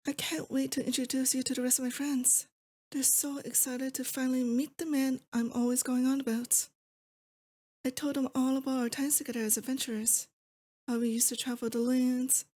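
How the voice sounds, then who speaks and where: A woman playing a possessive, affectionate demon lord in an intimate ASMR roleplay, speaking to her captive sweetheart in a doting, wistful, unhurried way. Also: sits high, flat delivery, mellow. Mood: teasing, fond. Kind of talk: roleplay ASMR, intimate scripted monologue to the listener.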